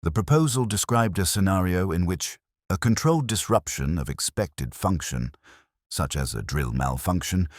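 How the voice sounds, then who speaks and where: Man, extremely low pitch, lively intonation, scripted mystery narration, dry wry delivery, close dry recording. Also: no room sound.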